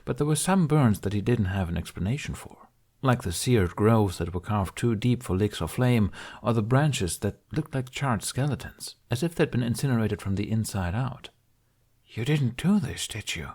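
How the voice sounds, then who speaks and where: A man reading a fantasy story aloud in a calm, measured way. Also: fairly low pitch. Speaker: podfic reader. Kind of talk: storybook narration.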